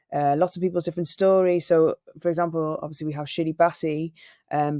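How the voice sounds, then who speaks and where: This young woman talks in a low, deep register with a dry, close sound. She tells stories in a relaxed way and acts out other people's lines. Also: dry recording. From Wales. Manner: animated storyteller, acts out quoted people. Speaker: singer and radio presenter, interview guest. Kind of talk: relaxed interview conversation.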